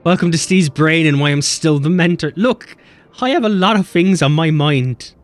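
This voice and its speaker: A man's voice: a little high, faint music behind. A man streaming a game, chatting casually and swearing as he bickers with chat. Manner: animated, swearing, quick banter with chat.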